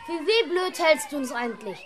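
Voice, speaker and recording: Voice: high voice, lively intonation, normal speed, music in the background, crowd noise. A performer playing a jeering, sceptical child classmate who taunts in a children's radio play. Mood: mocking.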